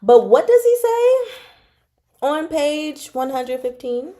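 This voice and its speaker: Animated woman in unhurried fan chat. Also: sits high. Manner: animated, gushing, slangy, drawn-out emphasis with dramatic asides. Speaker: book club host and reviewer. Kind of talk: casual fan chat recapping a novel.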